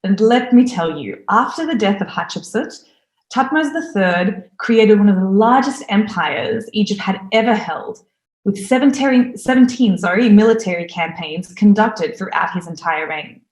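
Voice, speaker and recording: A woman's voice: medium pitch, animated, moderate pace, a little mellow. Enthusiastic woman presenter in an echoey room. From Australia. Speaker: conference speaker. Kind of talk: recorded conference talk.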